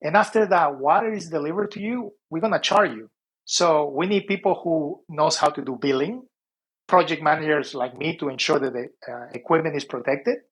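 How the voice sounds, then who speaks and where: Husky-voiced man. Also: fairly high pitch, moderate pace, fairly bright tone, rough recording. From Peru. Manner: earnest, explains with lists and restarts. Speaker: guest. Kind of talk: casual interview talk.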